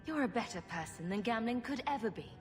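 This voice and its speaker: An actress playing a direct, protective young heroine and elder sister in a fantasy video game. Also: female voice, a little high, expressive, brisk, natural voice. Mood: supportive. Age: young adult. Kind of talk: dramatic video game voice acting. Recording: faint music behind.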